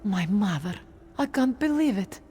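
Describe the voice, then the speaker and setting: A woman's voice: medium pitch, expressive, a little slow, dark tone, husky. An actress playing a proud, grieving young cat-folk warrior woman in a video game, with earnest dramatic delivery. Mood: wistful, grieving. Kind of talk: video game character dialogue.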